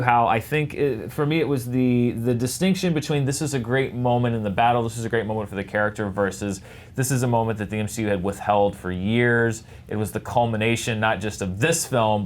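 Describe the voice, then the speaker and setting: Articulate, wordy man judging a debate. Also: fairly low pitch. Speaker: judge on a film debate panel show. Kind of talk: unscripted panel debate.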